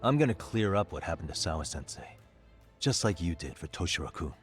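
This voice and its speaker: A calm, determined detective questions a prisoner with steady, pressing insistence. His voice sits deep and low, and a faint music bed runs under the game scene. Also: brisk. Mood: stern. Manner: pressing, steady interrogating delivery. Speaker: detective in cutscene. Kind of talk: game voice acting, dub.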